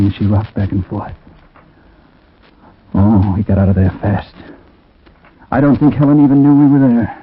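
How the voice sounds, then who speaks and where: A man's voice: mid-range voice, breathy edge, close to an everyday voice. An actor playing a troubled man who narrates an eerie story in 1940s radio drama style, reflective and conversational with hesitant asides. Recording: tinny, muffled 1940s radio recording.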